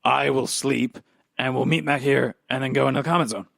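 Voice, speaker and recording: A man with a gravelly, husky voice improvising in character in a tabletop game, halting and repeating words. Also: mid-range voice, steady tone, fairly bright tone, dry recording, studio quality. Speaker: player in a tabletop roleplay game.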